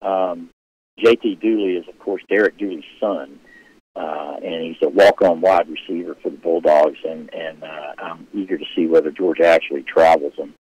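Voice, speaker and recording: A man hosting a casual live sports chat, talking loudly and rambling with many fillers and restarts. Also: low-pitched, steady tone, moderate pace, husky. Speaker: sports show host answering viewer questions. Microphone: live stream setup. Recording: dull lo-fi livestream audio.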